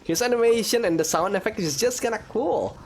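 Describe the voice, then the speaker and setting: A woman's voice: fairly high pitch, fairly quick, a little hiss or hum. A woman commenting casually and with amusement while playing a game. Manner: amused, chatty. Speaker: gameplay commentator. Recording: game music behind voice.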